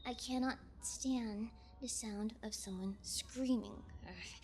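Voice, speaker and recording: A woman's voice: on the high side, slow, darkish tone, slight background noise. A woman playing a sadistic, playful female kidnapper in an intimate ASMR audio roleplay, teasing with a sing-song menace. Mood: menacing, curious.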